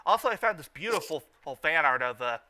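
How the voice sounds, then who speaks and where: A man with a fairly high, very bright and forceful voice hosts casual fan commentary. He stammers often, circling back to repeat phrases before finishing a thought. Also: lively intonation, quick pace. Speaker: host of a fan riffing show.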